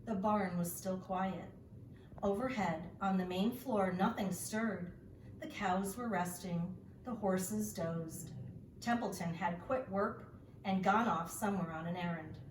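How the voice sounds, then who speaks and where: A woman narrating a children's storybook as a teacher reading aloud, deliberate and expressive. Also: medium pitch. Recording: echoey room, distant mic, faint noise.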